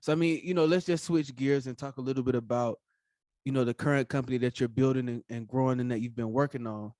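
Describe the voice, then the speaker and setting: Man hosting a podcast, mid pitch, casual filler-heavy talk, dry close sound. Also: fairly even intonation, fast talker, warm tone, no echo. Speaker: podcast host.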